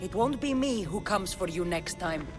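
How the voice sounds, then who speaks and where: A woman acting as a tough mercenary for hire in a video game. She is blunt and commanding with a sardonic edge, and her pitch moves freely over prominent music. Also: expressive, moderate pace, close to an everyday voice. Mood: stern, commanding.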